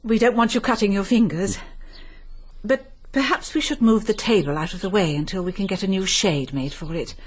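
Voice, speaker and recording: A woman playing a genteel, guarded English upper-class lady in a radio drama, speaking politely and with measured composure. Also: medium pitch, natural voice. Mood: composed, evasive.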